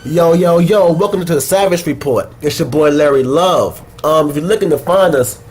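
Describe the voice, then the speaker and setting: Blunt, swearing young man with a head cold. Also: fairly high pitch. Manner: blunt, opinionated, swears freely. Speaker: podcast host. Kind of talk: casual uncensored chat.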